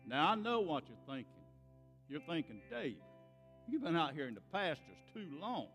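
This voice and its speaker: Man acting a folksy, animated humble shepherd. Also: on the high side, big-room sound, faint music behind. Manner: folksy, theatrical storytelling with dramatic pauses. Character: awestruck, humble, folksy shepherd retelling the nativity. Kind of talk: dramatic monologue in a church Christmas play.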